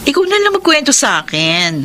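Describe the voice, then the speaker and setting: A woman's voice: high-pitched, wildly swooping pitch, fairly quick, pushed and bright, slight background noise, full character voice. An actress with a Filipino accent playing a worldly, flirtatious single woman in a radio drama, coy, playful and teasing.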